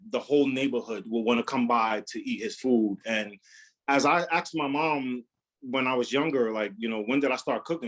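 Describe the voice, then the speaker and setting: Loud-voiced chef with a rambling manner. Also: male voice, mid-range voice, rough recording. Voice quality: loud, carrying voice. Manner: rambling, repeats words, many fillers. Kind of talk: casual live cooking demo Q&A.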